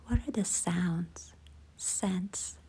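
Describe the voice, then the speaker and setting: A woman leading a guided visualization in a soft, hushed, breathy voice, gentle, unhurried and soothing. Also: on the low side, in a whisper. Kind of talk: slow guided meditation narration.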